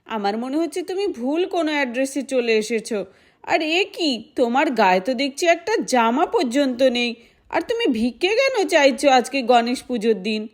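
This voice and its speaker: Jealous rich neighbour in a doll story woman performer: high voice, snappy, dismissive, scolding. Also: expressive, normal speed. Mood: irritated.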